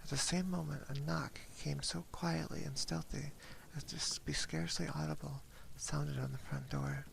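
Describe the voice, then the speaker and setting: A man reading a story aloud in a breathy, partly whispered ASMR style, very close to a binaural microphone. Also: fairly high pitch, flat delivery, normal speed, hardly any pauses, low-quality recording. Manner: hushed, slips and corrects words while reading. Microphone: close binaural ear microphone. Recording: close binaural mic with clear background noise. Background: rain ambience.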